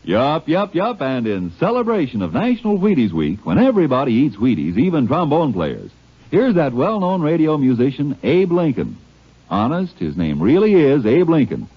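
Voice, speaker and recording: Muffled vintage radio sound. A husky American male announcer rolls through jokey scripted ad patter with a very lively pitch, 1950s style. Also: moderate pace.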